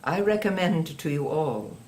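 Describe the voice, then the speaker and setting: An actor playing a cold male indoctrinator of a regime, speaking in a slow, measured, menacing way. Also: on the high side, big pitch swings, darkish tone. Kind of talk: audio drama acting.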